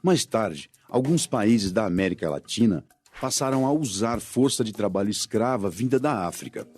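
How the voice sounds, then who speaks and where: Brazilian male narrator, measured and animated, light music bed. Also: fairly low pitch, breathy edge. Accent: Brazilian Portuguese. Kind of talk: scripted documentary narration.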